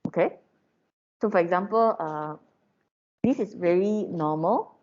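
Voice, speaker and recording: A woman with a Malaysian English accent lectures her students in a chatty, informal way, dropping tag questions as she goes. Her voice sits a little low and sounds mellow. The recording is dull and lo-fi. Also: measured pace.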